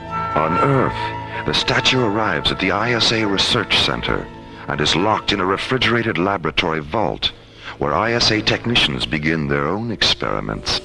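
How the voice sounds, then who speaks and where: A man narrating a science-fiction radio drama in a deliberate, portentous scripted style. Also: sits low, very animated, gravelly. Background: dramatic music.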